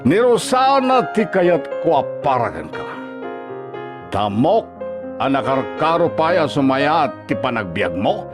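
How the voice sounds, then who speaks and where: A high, wildly swooping voice scolds with contempt: an overbearing older Filipino father-in-law in a radio drama. He badgers and belittles whoever he addresses, with music playing underneath. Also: very animated, a little slow. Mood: scornful. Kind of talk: Ilocano radio drama acting.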